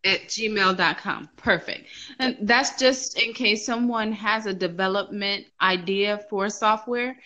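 A woman's voice: mid-range voice, unhurried, pushed and bright. A woman hosting a casual podcast interview who asks encouraging, upbeat questions.

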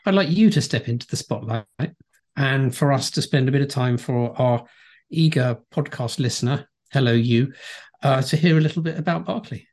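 Upbeat podcast host. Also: male voice, medium pitch, moderate pace, darkish tone. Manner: upbeat, friendly, emphatic. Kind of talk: casual podcast chat.

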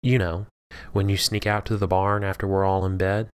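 Casting: male reader as huge demonic bully, low-voiced, cruel, commanding, sneering, for dark audio story. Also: level tone, moderate pace, clear, resonant tone, lightly acted. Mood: taunting. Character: huge menacing demonic man, cruel and taunting brother. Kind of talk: audiobook-style character dialogue.

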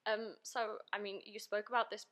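A woman's voice: mid-range voice, level tone, normal speed, very noisy. A woman hosting a podcast, asking curious questions in a casual, filler-heavy conversational way. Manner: curious, asks questions, uses filler words. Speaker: podcast host. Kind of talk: casual chat.

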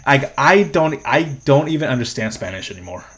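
A man's voice: mid-range voice, lively intonation, normal speed, a bit bright, husky. A young man hosting a solo podcast, talking in a casual, rambling way, swearing freely and laughing often. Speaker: podcast host.

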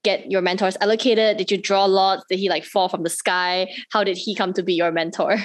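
A woman's voice: medium pitch, fairly even intonation, brisk, no echo. A woman co-hosting an interview, asking questions in a playful, joking manner. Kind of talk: casual interview chat.

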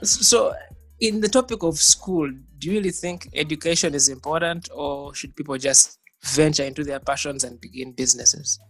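A man's voice: a little high, moderate pace, fairly bright tone, dry recording, soft background music, rough recording. A man hosting a podcast interview, introducing his guest and asking questions with upbeat interjections. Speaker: podcast host.

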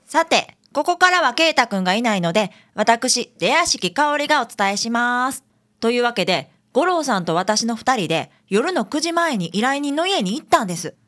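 A woman's voice: a little high, big pitch swings, moderate pace, a bit bright. A woman playing a perky young female detective's assistant with a Kansai accent in a radio drama. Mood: narrating. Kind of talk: radio drama narration and dialogue.

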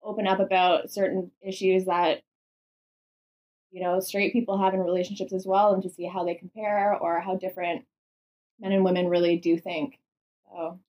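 A woman's voice: lowish voice, little pitch movement, normal speed, darkish tone, highs cut off. A woman hosting a podcast from a bedroom, upbeat and giggly, laughing often. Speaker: podcast host. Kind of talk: casual podcast chat.

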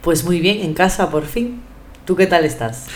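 A young woman with a Spanish accent from Spain, talking in a relaxed, thoughtful way as a podcast guest, with many pauses and fillers. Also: on the low side, roomy sound, a little hiss or hum. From Ecuador, raised in Spain. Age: young adult. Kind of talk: casual podcast conversation.

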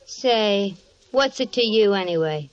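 A woman's voice: medium pitch, lively intonation, a little slow, close to an everyday voice. An actress playing a flirty, anxious married woman at a bar in 1950s radio drama style. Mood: breezy, guarded. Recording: dull, muffled old radio recording.

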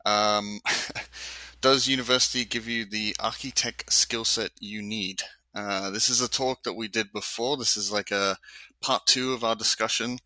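A man's voice: on the low side, measured pace, no echo, rough recording. A man hosting a live online architecture discussion, chatting casually with fillers and easy laughs. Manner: relaxed, rambling, frequent fillers and laughs. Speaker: host of an architecture talk.

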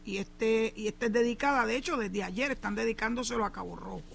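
A woman's voice: on the high side, quick pace, vocal fry, fairly bright tone, a little hiss or hum. A Puerto Rican woman hosting a show, giving emphatic opinion commentary on local news and dropping in English asides. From Puerto Rico.

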